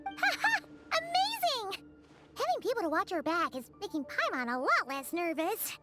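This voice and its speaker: A woman voicing a tiny, excitable fairy companion in a video game, chatty and childlike, with a squeaky voice. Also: moderate pace. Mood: excited. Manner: childlike, refers to herself by name. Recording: loud music behind the voice.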